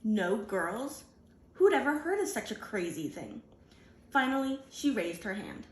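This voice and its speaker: A woman reading a children's picture book aloud in an animated, deliberate storytelling manner. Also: medium pitch, roomy sound, a little hiss or hum. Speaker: storybook reader.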